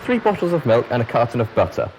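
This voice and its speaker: A man voicing an excitable, boastful female pony leaving a recorded message, with gushing, theatrical emphasis. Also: medium pitch, fast talker, muffled recording, a little hiss or hum, pitched above the performer's own voice, natural voice. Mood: excited, boastful. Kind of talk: recorded message in a fan fiction reading.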